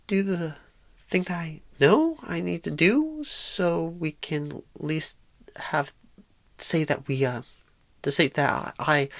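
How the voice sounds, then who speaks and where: Casting: woman to play a bashful, anxious new female recruiter for ASMR roleplay, low-pitched, halting and apologetic, recorded close and dry. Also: no room sound. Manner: stammering, halting, hesitant, apologetic and awkward. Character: shy, nervous, bashful rookie female job recruiter.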